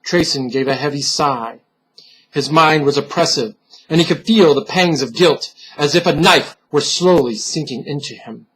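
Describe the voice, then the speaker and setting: A man giving a solemn, theatrical reading of a gothic horror story, deliberate and dropping to hushed tones. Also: a little high, slightly rough, rough recording. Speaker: storyteller, reader.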